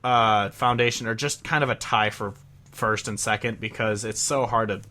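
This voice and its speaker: Bright-voiced young man in casual podcast chat. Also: on the low side, steady tone, normal speed. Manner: agreeable, frequent "yeah". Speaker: podcast co-host.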